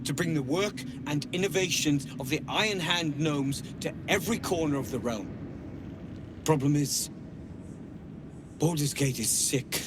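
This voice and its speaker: An actor playing a proud, ambitious gnome leader in a video game, speaking in a formal, declamatory way. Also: male voice, a little high, background noise, lightly acted. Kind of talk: video game character dialogue.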